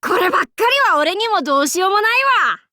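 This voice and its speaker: A woman's voice: very high-pitched, expressive, quick pace, bright, forceful tone, dry recording, clean studio sound, full character voice. A woman voicing a boisterous female demon king warlord in a Japanese anime game, speaking in a haughty, archaic, theatrical way. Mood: teasing. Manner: haughty old-fashioned lordly speech, theatrical battle cries.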